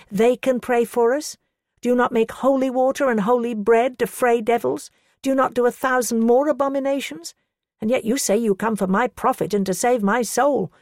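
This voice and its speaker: A woman's voice: steady tone, normal speed, a little mellow, no echo, studio quality, close to an everyday voice. A woman voicing a defiant, pious woman who scolds her accusers with rising passion. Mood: fervent. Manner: impassioned, scolding, rising pitch.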